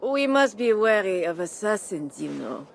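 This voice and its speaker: A woman's voice: medium pitch, big pitch swings, moderate pace, vocal fry, a little hiss or hum. A woman acting a haughty, suspicious noblewoman in a video game, formal and condescending in her delivery.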